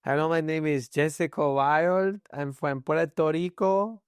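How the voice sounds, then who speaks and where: A man's voice: fairly high pitch, steady tone, unhurried, smooth, dry recording, clean studio sound, voice pushed up, lightly acted. A man putting on an exaggerated accent to mimic his guest, in a hammy, mocking way.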